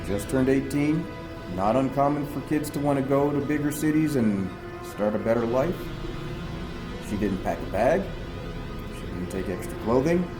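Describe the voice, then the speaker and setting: A man's voice: mid-range voice, expressive, normal speed, music in the background, close to an everyday voice, rough recording. An actor playing a domineering small-town police investigator in an audio drama, a man questioning in a blunt, folksy, probing way. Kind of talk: fiction podcast audio drama dialogue.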